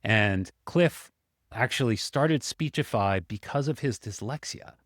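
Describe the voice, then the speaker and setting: A man's voice: medium pitch, expressive, a little slow, a bit bright, no room sound, studio quality. A man co-hosting a podcast, enthusiastic and rambling, with many likes and ums. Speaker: podcast co-host. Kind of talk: casual podcast chat.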